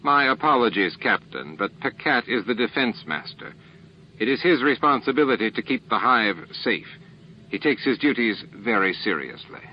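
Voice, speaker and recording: A man voicing a calm, formal, self-assured alien leader in an audiobook, condescending and baffled by others. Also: mid-range voice, moderate pace, highs cut off, a little hiss or hum, voice pushed up, natural voice. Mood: smoothly reasoning.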